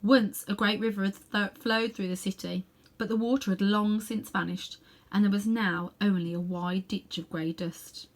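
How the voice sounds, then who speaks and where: A woman narrating a children's story aloud in an expressive storytelling style. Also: mid-range voice, moderate pace. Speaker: storybook reader.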